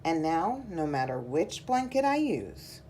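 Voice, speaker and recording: Low, slow-paced woman reading a storybook. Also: very animated, dark tone, slight background noise. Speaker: picture book reader. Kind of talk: read-aloud storytelling for children.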